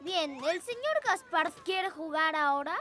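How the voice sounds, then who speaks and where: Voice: extremely high pitch, a little slow, noisy background. An actor voicing a confident, friendly young boy rabbit in a children's cartoon dub in Latin American Spanish. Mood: playful. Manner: lively, childlike, encouraging. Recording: loud music behind the voice.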